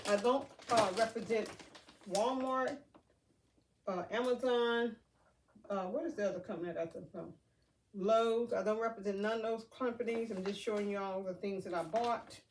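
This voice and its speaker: A chatty woman who sounds a bit nasal from a stuffy nose, talking through an unboxing in a loose, rambling way full of ums. She sits back from the microphone, so her voice sounds distant. A noticeable hiss runs underneath. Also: mid-range voice. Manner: chatty, rambling, many fillers. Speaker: vlogger. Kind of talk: casual unboxing vlog. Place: outdoors near a garden. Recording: noisy, from off mic.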